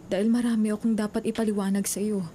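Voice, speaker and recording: A remorseful young woman, played by an actress pleads in a radio drama. Her voice is dark and mellow, medium in pitch, with faint noise behind. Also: little pitch movement, quick pace, lightly acted. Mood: pleading, urgent. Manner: earnest, pleading. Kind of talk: Filipino radio drama acting.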